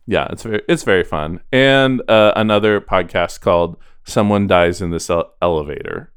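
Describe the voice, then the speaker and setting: A man's voice: low-pitched, normal speed, smooth, no echo, clean studio sound. A man co-hosting a podcast in casual chat, talking in a chatty, self-deprecating way. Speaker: podcast co-host.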